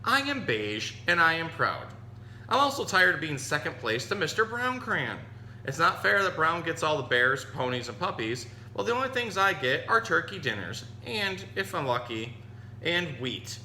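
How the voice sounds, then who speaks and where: A man's voice: a little high, very animated, normal speed, pushed and bright, pitched above the performer's own voice, full character voice. A man reading a storybook, voicing a proud beige crayon who resents being overlooked. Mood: indignant. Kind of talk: storybook read-aloud. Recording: echoey room with audible hum.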